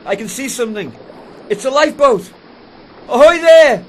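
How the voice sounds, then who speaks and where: A man's voice: very high voice, animated, moderate pace, a little hiss or hum, rough recording. A man playing an anxious sailor in a radio play, calling out tensely as he searches the sea. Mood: anxious, urgent.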